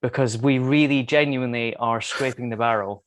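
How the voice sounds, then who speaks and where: A man giving his opinion as a podcast panellist in a casual film discussion, hesitant and measured. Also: mid-range voice, steady tone.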